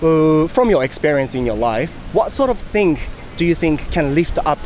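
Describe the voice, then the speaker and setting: A man's voice: a little high, lively intonation, darkish tone, slight background noise, poor audio. Chatty man interviewing people on the street. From Japan. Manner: friendly, chatty, quick questions. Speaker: street interviewer, channel host. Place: outdoors in a city street.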